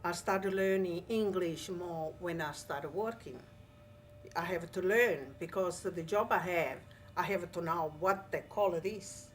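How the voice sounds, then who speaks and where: Slow-speaking Italian-accented woman. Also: lowish voice, slight background noise. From Italy. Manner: slow, searching for words, second-language English. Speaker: interviewee. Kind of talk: casual recollection.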